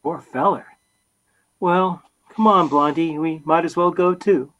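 A man's voice: a little high, a little slow, fairly bright tone, highs cut off. A man playing a bashful, good-natured young husband in a radio comedy, hesitant and stammering. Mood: sympathetic, resigned. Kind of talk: old-time radio comedy acting.

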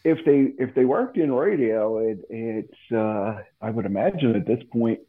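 A man chatting casually as a radio presenter, with halting, hesitant phrasing, heard over a tinny phone-like line. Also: mid-range voice, lively intonation. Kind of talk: casual chat.

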